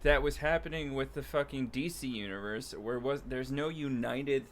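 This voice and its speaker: A man's voice: medium pitch, normal speed, slight background noise. A man co-hosting a casual podcast chat, giving blunt, opinionated criticism with some swearing. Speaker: podcast co-host. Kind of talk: casual chat about films and games.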